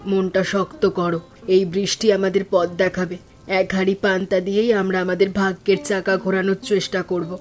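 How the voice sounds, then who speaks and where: A woman voicing a resolute, hopeful poor village mother in an animated cartoon story, earnest and encouraging. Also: medium pitch, fairly quick, faint music behind, lightly acted.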